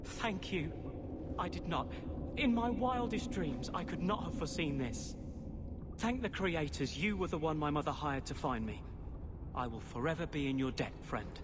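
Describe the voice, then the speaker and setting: A man's voice: a little high, lively intonation, normal speed, background noise, natural voice. An actor playing an earnest, grateful young man in a video game, speaking formally and with heartfelt wonder. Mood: awed gratitude. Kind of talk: dramatic video game acting.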